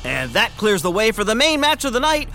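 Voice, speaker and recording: A woman's voice: a little high, very animated, a little fast, a bit bright, a little hiss or hum. A woman playing an eager, dramatic wrestling co-commentator in an audio drama. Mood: excited, dramatic. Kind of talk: sports commentary.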